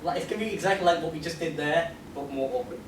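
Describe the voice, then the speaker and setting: A man presenting casually at a convention panel, rambling in an excitable, self-deprecating way. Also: on the high side, quick pace. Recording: echoey, noisy hall, distant microphone.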